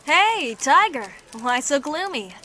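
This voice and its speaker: A woman acting a friendly young woman in a comic dub, playful and gentle as she teases and consoles. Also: sits high, sing-song, slow pace, a little hiss or hum, heavily acted. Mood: teasing.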